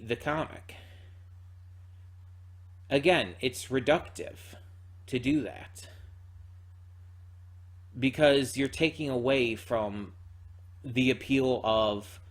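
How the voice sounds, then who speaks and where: A man hosting a reaction channel, giving casual spoken review commentary in a halting way, repeating words as he thinks aloud. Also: medium pitch.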